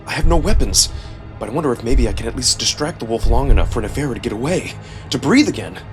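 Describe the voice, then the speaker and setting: A male narrator performs dramatic first-person fiction in a mid-pitched voice. His reading is expressive and suspenseful, set over a music bed. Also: fast talker.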